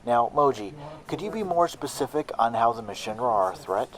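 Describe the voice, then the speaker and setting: A man's voice: medium pitch, expressive, brisk, lightly acted. A man playing a probing, wry news interviewer in a radio drama. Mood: inquisitive, wry. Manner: inquisitive, wry.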